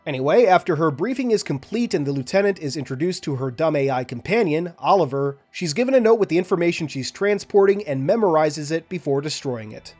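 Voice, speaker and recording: A man's voice: on the high side, expressive, fairly quick, few pauses, darkish tone, soft background music. A man hosting a lore analysis video, reading a scripted narration with enthusiasm and speaking directly to his viewers.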